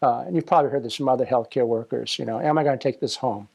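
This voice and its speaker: A man's voice: mid-range voice, racing, gravelly, darkish tone. A man who works in healthcare, explaining things in an interview. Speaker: healthcare worker.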